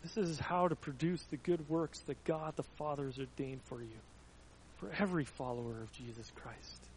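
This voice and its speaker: A man's voice: a little high, moderate pace, a little mellow, a little hiss or hum, rough recording. A man preaching a sermon, telling everyday stories as illustrations, with frequent stumbling restarts.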